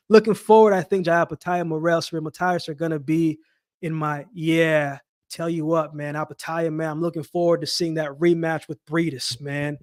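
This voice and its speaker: A high-voiced man hosts a casual live chat in a dry, close-sounding space. He talks in loose slang and often says a phrase again for emphasis, as if speaking straight to his regulars. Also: little pitch movement, normal speed, dry recording. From Jamaica-born, raised in Canada. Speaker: livestream host. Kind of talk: casual live chat with viewers, boxing commentary.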